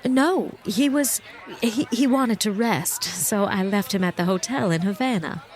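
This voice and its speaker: An actress playing a young married suspect in a noir audio drama, polite, hesitant and defensive. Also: female voice, mid-range voice, measured pace, natural voice. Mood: evasive. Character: guarded young married woman under suspicion, evasively polite. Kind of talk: noir radio drama acting.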